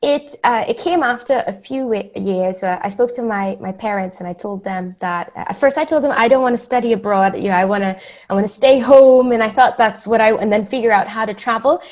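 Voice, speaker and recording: A woman from the Bahamas, speaking as an interview guest and telling stories in a casual, animated way. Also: a little high, a little fast.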